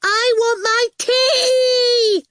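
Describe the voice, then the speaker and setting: Bratty young girl for children's audiobook; piercing, high-pitched, bossy and loud. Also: fairly even intonation, extremely slow, no room sound, clean studio sound, exaggerated voice. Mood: yelling. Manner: demanding, loud. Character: shrieking bratty eight-year-old girl, bossy and awful.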